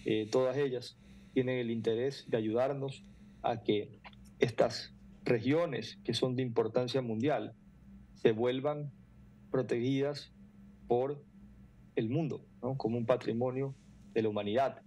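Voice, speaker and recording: A middle-aged man, explaining earnestly and haltingly as an interview guest on a video call. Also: mid-range voice, fairly even intonation, warm tone, rough recording. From Ecuador. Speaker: foundation president, interview guest. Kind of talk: interview answers. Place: room indoors at home or office.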